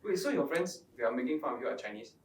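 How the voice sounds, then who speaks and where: Casual Singaporean man, medium pitch. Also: sing-song, very fast, slightly rough, low-quality recording. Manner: colloquial Singlish phrasing. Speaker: interviewee. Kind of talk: casual chat.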